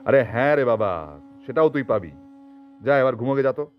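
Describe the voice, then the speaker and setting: A man's voice: medium pitch, animated, moderate pace, lo-fi sound, faint music behind, natural voice. A man playing an irritable, scheming householder and master in a Bengali audio story, in an exasperated, colloquial manner. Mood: grudgingly appeasing.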